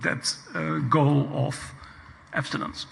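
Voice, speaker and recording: A man speaks very slowly at a floor microphone in a large conference hall. His voice is deeply gravelly with a bright, tense tone, and he pauses often as he talks. A long reverb trails behind his words. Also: flat delivery, slight background noise. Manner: deliberate, halting speech with pauses. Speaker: audience member at a conference. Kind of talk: comment from the floor.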